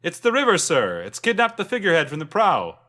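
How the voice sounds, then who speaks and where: A man voicing a deferential, alarmed boatman calling out loudly and urgently to his captain in a fantasy story. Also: high-pitched, wildly swooping pitch, brisk, pitched above the performer's own voice, exaggerated voice.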